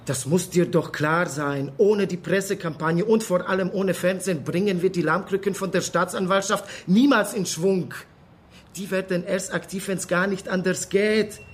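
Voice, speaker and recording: An actor playing an insistent, calculating Czech activist who mangles idioms, with a Czech accent, in a radio drama. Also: male voice, high-pitched, steady tone, normal speed, natural voice. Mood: pressing, pragmatic.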